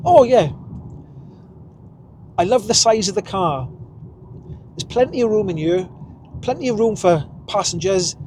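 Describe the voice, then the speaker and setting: Welsh guy driving and chatting away, kinda high voice, chuckles at himself, bit of road noise. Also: expressive, measured pace, long pauses, darkish tone. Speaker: vlogger. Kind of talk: casual driving vlog. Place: inside a car while driving.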